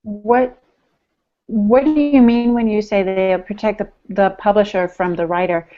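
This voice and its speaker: A woman's voice: mid-range voice, fairly even intonation, measured pace. A woman in her forties asking questions conversationally as a podcast co-host on a video call. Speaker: podcast co-host, first-time novelist. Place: home room, indoors. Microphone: earbuds on a video call. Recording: dull, compressed video-call audio.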